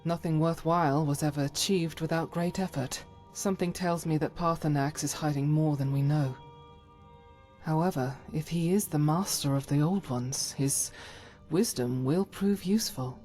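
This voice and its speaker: A woman voicing a devout, principled warrior companion in a fantasy game, formal, measured and earnest. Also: low-pitched, mellow, soft background music, natural voice. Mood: thoughtful, uneasy. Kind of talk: scripted fantasy game dialogue.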